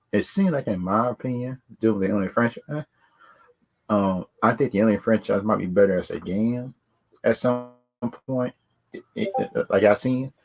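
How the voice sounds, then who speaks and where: A man's voice: on the low side, a little mellow, slight background noise, rough recording. A man giving casual, unscripted opinions as a video host, rambling and often repeating words and stock phrases. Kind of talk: casual unscripted opinion talk on films and games.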